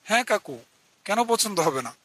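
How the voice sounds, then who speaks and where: A man voicing a polite young villager who tells a scripted ghost story in a measured, earnest way. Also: high-pitched, expressive, sharp tone, dry recording, heavily acted. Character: polite young village man from a poor family recounting his ghost encounter. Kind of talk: scripted horror story narration with acted dialogue. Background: music.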